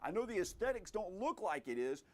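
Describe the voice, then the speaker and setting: High voiced guy doing game review commentary, kinda exasperated, voice goes up and down a lot. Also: very animated, brisk. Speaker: gaming video commentator.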